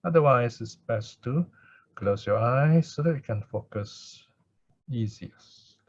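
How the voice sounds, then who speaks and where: A man speaking as a Buddhist meditation teacher, in gentle, unhurried devotional chanting or guided instruction with pauses. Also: medium pitch, animated, dry recording. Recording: dull lo-fi recording.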